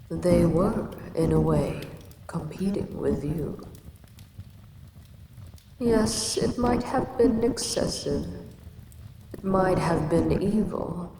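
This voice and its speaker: Cast: a woman as a calm, imposing kaiju queen, lordly and unhurried, lowish voice with long hall reverb. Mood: musing. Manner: slow, measured, lordly speech. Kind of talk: audio roleplay. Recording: echoey reverb with ambient noise.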